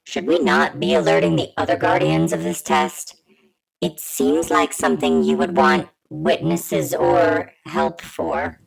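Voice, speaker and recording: A woman playing a cautious holographic computer entity in an audio drama, formal, measured and wary. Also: low-pitched, animated, vocal fry, exaggerated voice, low-quality recording.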